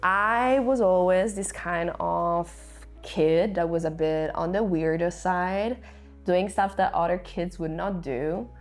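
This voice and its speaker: A woman in her twenties whose first language is Italian, telling her own story to camera in a reflective way with hesitant fillers. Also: fairly low pitch. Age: 20s. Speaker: author and vlogger.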